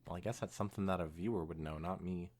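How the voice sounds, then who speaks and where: A young man hosting a podcast, reading fan fiction aloud in an animated way mixed with casual chat. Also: sits low, fast.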